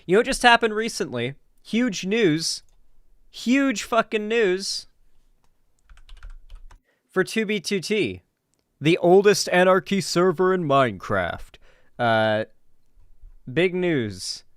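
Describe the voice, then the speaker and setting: A man's voice: high-pitched, expressive, slow pace, pauses often, clear, resonant tone, no room sound, clean studio sound. A man doing casual live stream commentary as he reacts to videos and chats with viewers, informal and sweary with gamer slang.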